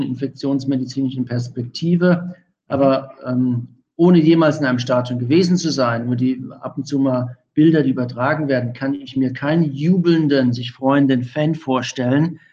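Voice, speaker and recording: A middle-aged man answering interview questions as an infection medicine expert, speaking in long, measured, formal sentences full of academic vocabulary. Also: medium pitch, a little mellow. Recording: dull lo-fi audio.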